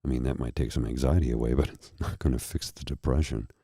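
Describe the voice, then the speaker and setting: A tired man with a husky, slightly froggy throat, hosting his own show and talking earnestly and slowly to his viewers. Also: extremely low pitch, fairly even intonation, warm tone, dry recording, studio quality. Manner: slow, earnest, heartfelt. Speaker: host. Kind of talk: candid personal talk to viewers.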